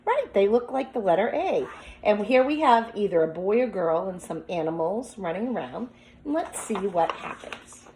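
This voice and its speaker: A woman with a medium-pitched voice talks like a teacher leading a read-aloud. She explains as she goes in an instructive way, addressing her listeners like a class of children. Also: expressive, moderate pace, a little mellow, slight background noise, low-quality recording. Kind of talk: picture-book read-aloud with commentary and questions to children.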